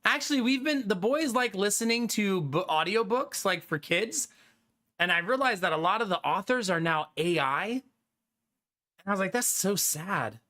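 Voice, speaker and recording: A young adult man streaming live, chatting casually with his viewers, animated and talkative, often laughing. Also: high-pitched, normal speed.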